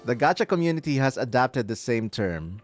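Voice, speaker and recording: A man's voice: mid-range voice, very animated, a little fast. A man streaming a game live, casually explaining things and chatting with his viewers. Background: game music.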